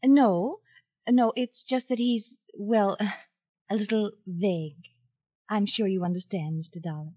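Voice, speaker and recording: An actress playing a genteel, guarded small-town heiress in a 1950s radio drama, speaking in a hesitant, confiding way. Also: female voice, mid-range voice, unhurried, close to an everyday voice. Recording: dull old radio recording.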